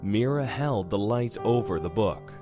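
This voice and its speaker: A man's voice: lowish voice, expressive, unhurried, over music. A man narrating a scripted horror drama as its storyteller.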